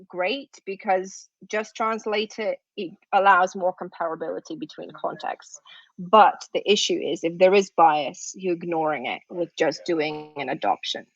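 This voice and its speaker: A woman's voice: lowish voice, no echo. A woman whose first language is Russian gives an academic workshop talk on a video call, conversational and often checking in with "right?". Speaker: workshop presenter. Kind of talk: academic lecture. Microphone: computer microphone on a video call. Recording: dull, lo-fi online conference audio.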